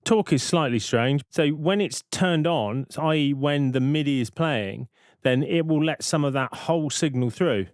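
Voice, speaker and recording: A man narrating a software tutorial in an informal, explanatory way, thinking aloud as he goes. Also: on the high side, moderate pace, no room sound, studio quality. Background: synth and vocoder demo sounds.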